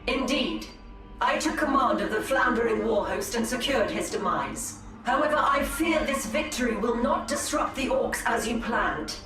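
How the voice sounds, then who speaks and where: A grave female alien seer, played by an actress in a video game, formal and measured, with a slightly high voice. She sounds a little distant in an echoing space, over music. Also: lively intonation, natural voice. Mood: grave, uneasy. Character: dutiful, uneasy female Eldar alien war seer. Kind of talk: scripted video game voice acting. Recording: echoey, set back from mic, music behind.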